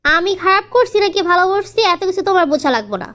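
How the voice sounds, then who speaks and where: A woman's voice: extremely high pitch, animated, rapid-fire, pushed and bright, full character voice. A woman voicing a stubborn young wife in a cartoon family comedy, complaining and repetitive, with a Bangladeshi Bengali accent. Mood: defiant, irritated. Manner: complaining, repeats words. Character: quarrelsome, stubborn young wife and sister-in-law.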